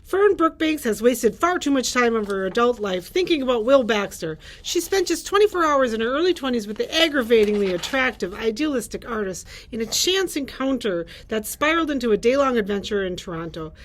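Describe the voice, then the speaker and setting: A woman's voice: on the high side, animated, brisk, hardly any pauses. A middle-aged woman librarian presenting books in a library room, reading summaries aloud. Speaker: librarian presenting book picks. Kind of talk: reading book blurbs aloud with brief chat.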